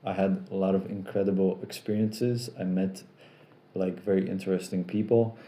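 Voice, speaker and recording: A man's voice: low-pitched, normal speed, roomy sound. A young man with an American accent hosting a casual live chat and rambling through viewer questions. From Poland. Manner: relaxed, rambling, repeats himself. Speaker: live stream host.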